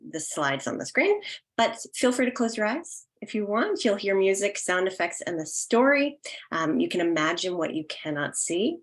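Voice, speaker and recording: A woman hosting an online event in a casual, instructional way. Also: medium pitch. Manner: informal, instructional. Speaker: host.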